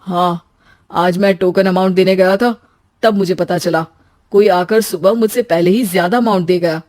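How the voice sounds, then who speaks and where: A woman's voice: on the low side, fairly even intonation, normal speed, darkish tone, close to an everyday voice. A woman voicing an earnest, plain-spoken young husband in a cartoon family story. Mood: dejected.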